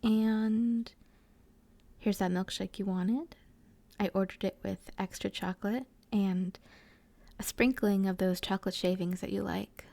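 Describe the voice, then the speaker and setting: Woman acting a slow, creaky, doting best friend. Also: medium pitch, fairly even intonation, warm tone, no room sound. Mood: tender, doting. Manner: affectionate, teasing, rambling, slangy. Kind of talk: intimate audio roleplay, casual one-sided chat.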